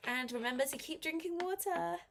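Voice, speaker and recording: A woman teaching a live online English lesson with a slight head cold, reading exercises aloud and encouraging learners in a clear, step-by-step way. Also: very high voice, fairly quick, slight background noise.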